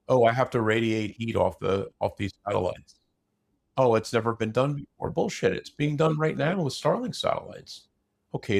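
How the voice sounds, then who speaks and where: A man's voice: medium pitch, creaky. A man in a casual unscripted discussion, opinionated and blunt, rambling through broken-off sentences.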